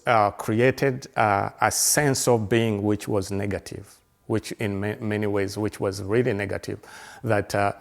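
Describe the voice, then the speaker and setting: A man in his fifties from Uganda talks slowly through his thoughts, stopping and restarting phrases. His voice sits fairly low and has a gravelly edge. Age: 50s. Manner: halting, with many fillers, restarts and repeated words; earnest and reflective. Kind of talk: reflective personal conversation.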